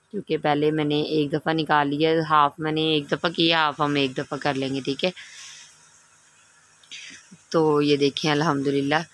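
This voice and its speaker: A woman's voice: low voice, fairly bright tone. A woman narrating a home recipe in a casual, instructive way, often checking in with the listener as she explains each step. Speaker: home cooking channel host.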